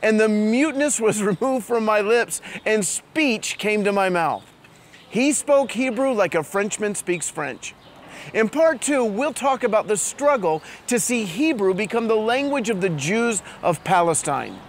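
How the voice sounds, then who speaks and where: A man's voice: sits high, lively intonation, unhurried, a little hiss or hum. A man hosting, presenting in an earnest, scripted storytelling way outdoors in a town street. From immigrant to Israel. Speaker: host.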